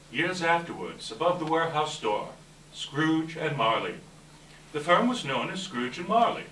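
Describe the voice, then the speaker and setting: A man reads a story aloud in a live event with a bright, forceful voice. The room echoes around him and he sits away from the mic, with faint noise underneath. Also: medium pitch, recorded from a distance. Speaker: event presenter and story reader. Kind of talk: welcome remarks and dramatic live story reading. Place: library event room before an audience.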